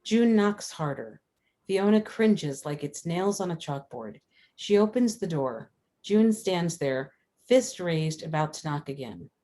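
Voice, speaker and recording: A woman's voice: on the low side, lively intonation, unhurried, mellow. A woman narrator reading screenplay stage directions aloud in a scripted style.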